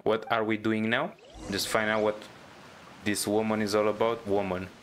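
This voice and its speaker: A man giving casual reaction commentary as a gaming streamer, musing and halting as he goes. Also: lowish voice, flat delivery, fairly bright tone, slight background noise.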